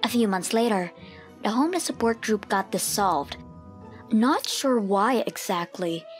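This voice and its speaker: A woman's voice: medium pitch, expressive, a little slow, lightly acted. A woman playing an expectant mother who narrates her own past in a scripted comic dub, over music. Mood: recounting, troubled.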